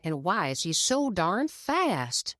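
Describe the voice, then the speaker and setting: A woman voicing a brash male race car who hosts a show, loud and mocking. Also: lowish voice, sing-song, slow, no echo, clean studio sound, heavily acted. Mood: jeering.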